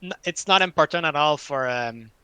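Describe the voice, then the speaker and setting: A man from Quebec, a native French speaker with a Quebec French accent, chatting casually and haltingly, repeating words as he searches for phrasing. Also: on the high side, expressive, bright, forceful tone, clean tone, no room sound. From French-speaking Quebec, Canada. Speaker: study group participant. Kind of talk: casual group chat.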